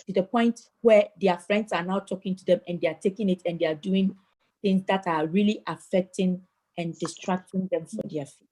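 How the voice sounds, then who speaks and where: A woman's voice: mid-range voice, darkish tone, low-quality recording. An earnest woman from Ghana speaks in an online community meeting. She leads the talk and sometimes stammers on repeated words before a thought lands. She sounds like she is on a video call. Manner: earnest, repeats words when starting sentences. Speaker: participant moderating a community discussion.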